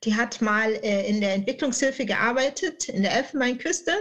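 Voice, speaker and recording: A woman's voice: on the high side, normal speed, fairly bright tone. A woman in her late thirties from southern Germany, chatting playfully and colloquially as an interview guest. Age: late 30s. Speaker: podcast guest, author. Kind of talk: casual interview conversation.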